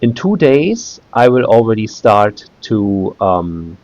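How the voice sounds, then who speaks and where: German native speaker, male, 30s, low-pitched, halting casual vlogger, dry close recording. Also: big pitch swings, a little mellow, no echo, highs cut off. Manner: hesitant, many "uh" fillers and restarts. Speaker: video creator. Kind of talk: casual screen-recorded walkthrough.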